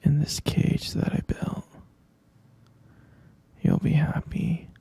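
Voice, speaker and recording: A man playing an obsessive, delusional male captor in a whispered ASMR roleplay, cooing sweetly and possessively in a breathy, creaky hush. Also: takes it slowly, dry recording, close to an everyday voice. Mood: tender, possessive. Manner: hushed, cooing, coaxing, repeating himself.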